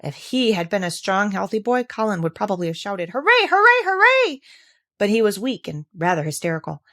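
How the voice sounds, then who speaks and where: Female audiobook narrator, medium pitch, scripted reading of classic children's fiction. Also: brisk. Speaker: audiobook reader.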